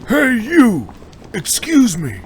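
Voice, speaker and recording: A man's voice: extremely high pitch, huge pitch swings, takes it slowly, slight background noise, heavily acted, rough recording. A man playing an eager, respectful young dojo student in an audio drama, speaking with keen emphasis. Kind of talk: fan audio drama acting.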